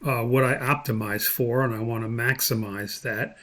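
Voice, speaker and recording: A man explaining software step by step as an instructor, thinking aloud in an unscripted tutorial. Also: medium pitch, fairly even intonation, normal speed.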